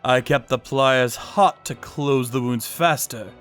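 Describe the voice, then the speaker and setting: Male narrator, slow and cold, faint music under. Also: medium pitch, a bit bright, smooth. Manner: cold, sadistic, unhurried. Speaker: narrator and torturer character. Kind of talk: manga dub narration.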